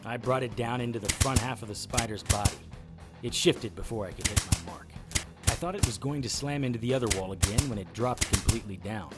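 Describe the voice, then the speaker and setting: A tough, wisecracking monster hunter voiced by a man narrates an audio drama in a low register. The delivery is dry, wry and noir, with punchy phrasing. Music plays clearly behind the voice. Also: a little fast, lightly acted. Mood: tense narration. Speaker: lead actor narrating in first person. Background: music bed under the voice.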